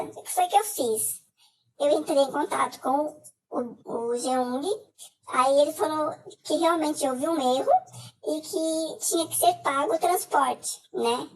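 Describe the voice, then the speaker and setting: A middle-aged Brazilian woman with a Brazilian Portuguese accent gives a personal testimony as a scam victim, talking haltingly with many filler hesitations. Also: high-pitched, recorded from a distance, poor audio.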